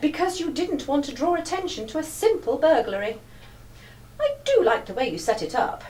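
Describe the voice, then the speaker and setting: A woman's voice: sits high, very animated, normal speed, darkish tone. A woman acting in a live radio play as a clever, teasing young lady detective, with a British accent and breezy, genteel old-fashioned phrasing. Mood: confident, accusing. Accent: British English. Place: live stage performance recorded from the audience area. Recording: distant echoey hall, faint noise.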